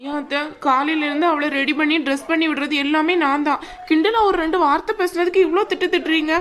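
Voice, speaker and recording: A woman's voice: sits high, fairly even intonation, a bit bright. A woman playing a young aunt who talks back to her mother-in-law. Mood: arguing. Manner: argumentative, quick. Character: quick-talking young aunt, teasing.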